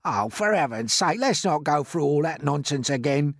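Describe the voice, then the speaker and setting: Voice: medium pitch, animated, moderate pace, vocal fry, dry recording, studio quality, voice pushed up. A reader doing a gloomy, fretful earthworm. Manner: gloomy, complaining. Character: gloomy blind earthworm, a worrier.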